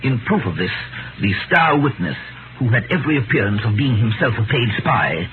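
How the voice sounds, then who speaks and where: A man narrating a 1930s radio drama in a grave, measured storytelling manner. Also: medium pitch, sing-song, close to an everyday voice. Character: grave, watchful male narrator of courtroom tale. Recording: noisy, tinny 1930s radio broadcast.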